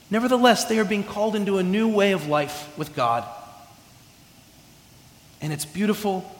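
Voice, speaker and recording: A man's voice: on the high side, a bit bright. A man from California giving a chatty, self-deprecating sermon with humor, often restarting his phrases, in a church sanctuary. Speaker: preacher. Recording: echoey hall reverb.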